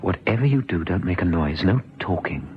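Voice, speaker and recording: An actor playing a kindly, persuasive police officer in an old radio drama, earnest and coaxing, sometimes stammering. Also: male voice, low voice, animated, moderate pace. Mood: brisk, conspiratorial. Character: kindly, persuasive male police officer talking someone round. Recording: dull, noisy old radio recording.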